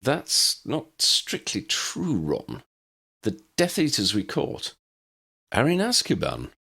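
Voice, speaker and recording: A man's voice: mid-range voice, wildly swooping pitch, slow, creaky, sharp tone, slightly rough, pitched above the performer's own voice, exaggerated voice. A man voicing a wry, confident adult male wizard in a storybook, with drawling emphasis. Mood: correcting. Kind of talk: storybook character acting.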